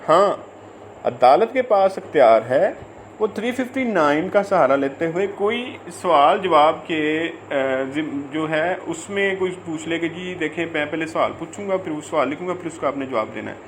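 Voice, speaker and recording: High-pitched Pakistani man lecturing on law. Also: lively intonation, slight background noise. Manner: halting, repeats phrases, stretches out English words when reading. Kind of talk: informal lecture, reading English statute and explaining it.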